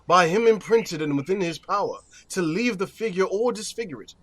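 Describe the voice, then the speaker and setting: An actor playing a stately duke passing judgment, speaking Shakespearean verse in a formal, measured, authoritative manner. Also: male voice, high-pitched, animated, a bit bright. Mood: grave, advising. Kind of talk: Shakespearean verse audio drama acting.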